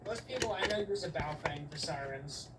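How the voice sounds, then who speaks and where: A man's voice: a little high, expressive, moderate pace, a loud crowd. A man bantering casually in a group voice chat over a noisy mic.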